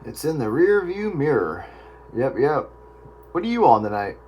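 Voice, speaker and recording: A man's voice: medium pitch, sing-song, normal speed, darkish tone, muffled recording. A man chatting casually as a radio operator over a two-way radio. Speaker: amateur radio operator on an on-air roundup. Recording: noisy two-way radio with static.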